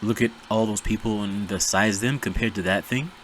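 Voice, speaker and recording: A man's voice: fairly low pitch, brisk, fairly bright tone, a little hiss or hum, rough recording. A man hosting a reaction video, talking in a chatty, rambling, self-deprecating way. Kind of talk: casual rambling commentary.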